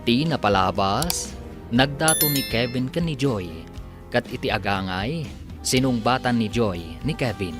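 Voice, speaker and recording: A male announcer with a lively, swinging delivery over clear music. He narrates a radio serial in a dramatic, scripted style. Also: mid-range voice, a little slow. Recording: music behind the voice.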